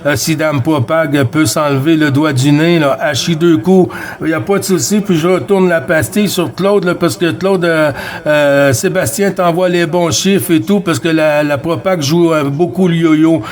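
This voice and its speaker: Quebec French man, slightly high voice, radio chat. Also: steady tone, normal speed. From Quebec, Canada. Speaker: CB radio operator running a round-table contact. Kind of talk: casual amateur radio chat.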